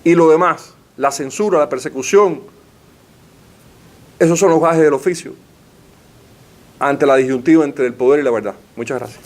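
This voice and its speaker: A man with a slightly raised pitch delivers a formal lecture. He asks rhetorical questions and stacks up lists to press his argument. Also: normal speed, long pauses. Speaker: panelist giving a talk. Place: library meeting room.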